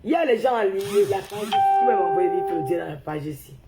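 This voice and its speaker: A woman's voice: fairly low pitch, lively intonation, fast talker, roomy sound, very noisy. A woman with the flu, hosting a casual phone livestream and ranting heatedly and repetitively straight at her viewers. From Cameroon. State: has the flu. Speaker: livestream host. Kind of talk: casual live-stream rant.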